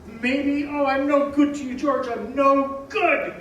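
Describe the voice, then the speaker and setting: A man playing a scatterbrained, excitable older uncle in a radio stage play, stammering and flustered. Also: very high voice, slow, muffled recording, full character voice. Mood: distraught. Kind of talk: old-time radio play acting on stage. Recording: distant echoey stage recording, faint noise.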